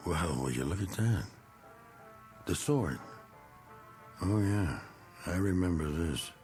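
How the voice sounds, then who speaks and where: A bitter middle-aged American veteran in a radio play. His voice is low and gruff, swinging up and down as he mutters in clipped phrases, with music behind. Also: animated, takes it slowly, darkish tone. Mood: brooding, muttering. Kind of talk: radio drama acting.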